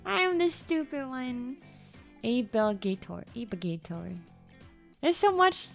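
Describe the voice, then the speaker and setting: A woman's voice: big pitch swings, unhurried, creaky. Rambling young woman livestreamer. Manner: rambling, repeats words, sudden squeaky jumps in pitch; stumbles over words. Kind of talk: casual stream chat. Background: faint background music.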